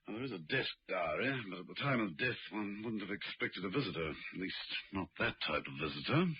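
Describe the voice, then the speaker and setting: An unflappable gentleman secret agent in a radio drama. His upper-class English voice sits low and moves with lively inflection. He is urbane, understated and drily witty. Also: a little fast, breathy edge, no echo, full character voice. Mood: musing. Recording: dull lo-fi old radio recording.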